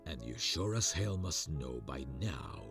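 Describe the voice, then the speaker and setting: Stern vampire father, played by a man: authoritative castle lord, gravelly and unhurried, for audio roleplay. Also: rumbling low, lively intonation, music in the background, heavily acted. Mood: stern, commanding. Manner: commanding, authoritative.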